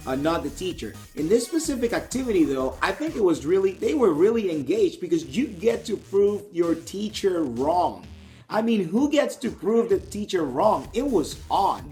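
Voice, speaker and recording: A male math teacher talks earnestly and reflectively in a slightly higher voice than most men. Soft music plays faintly beneath him. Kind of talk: interview to camera.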